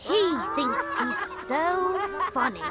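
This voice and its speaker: A woman's voice: very high voice, big pitch swings, slow pace, exaggerated voice. A woman voicing a vain, gossipy female farmyard bird in a cartoon. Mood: vain, dry. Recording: loud music under voice.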